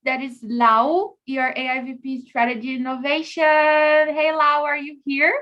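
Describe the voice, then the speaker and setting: A woman with a notably high voice opens an online conference over a video call. She is chatty and enthusiastic and keeps coaxing the audience to take part. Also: flat delivery, measured pace, lo-fi sound. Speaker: conference chair and host. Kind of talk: live online conference opening.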